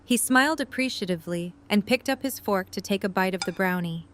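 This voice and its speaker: Female narrator, mid-range pitch, scripted storytelling, audio drama narration. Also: fairly quick, slight background noise.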